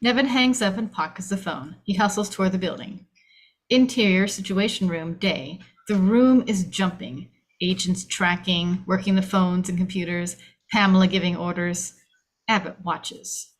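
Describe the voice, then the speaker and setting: A woman's voice: fairly low pitch, moderate pace. Woman narrating screenplay stage directions. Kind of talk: screenplay table read narration.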